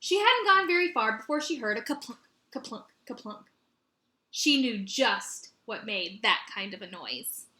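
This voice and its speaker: Bright, higher-voiced woman reading to children. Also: animated, a little slow. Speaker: teacher reading aloud. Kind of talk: expressive children's story read-aloud. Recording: roomy echo.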